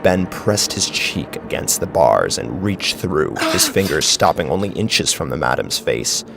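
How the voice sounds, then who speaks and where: A man with a gravelly voice narrating a horror story in a dramatic, scripted style. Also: extremely low pitch, very animated, unhurried, low-quality recording. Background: clear music.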